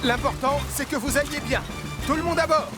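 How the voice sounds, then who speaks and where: An actor playing a dutiful rescue firefighter in a cartoon, giving brisk, purposeful orders. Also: male voice, very high voice, over music. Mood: brisk, in command. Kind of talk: animated cartoon acting in French dub.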